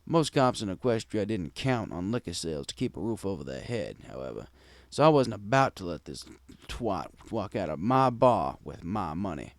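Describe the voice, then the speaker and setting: Animated male storyteller, wry and conversational. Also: deep voice, moderate pace, hardly any pauses, no room sound. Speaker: fan fiction reader. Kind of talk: first-person scripted story narration.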